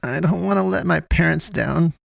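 A man's voice: fairly high pitch, fast talker, no room sound, voice pushed up, close to an everyday voice. A man voicing an angry, distressed young woman in a story, with a snappy, whiny, emphatic delivery. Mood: tearful pleading.

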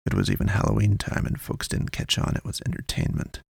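Man doing a hushed, gravelly secret informant. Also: a bass voice, big pitch swings, brisk, dry recording, clean studio sound, pitched below the performer's own voice, exaggerated voice. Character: secretive male informant nicknamed Sinatra, grave, cagey, slangy.

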